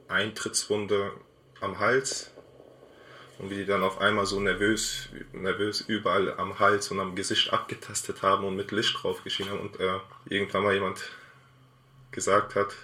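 A man's voice: on the low side, level tone, moderate pace, bright, forceful tone. A man giving spontaneous personal testimony as an eyewitness, in an echoey recording. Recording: echoey original sound recording.

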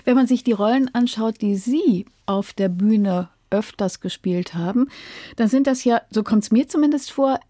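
Woman interviewer, soft dark tone, medium pitch, composed questions, really dry close recording. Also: moderate pace, no echo.

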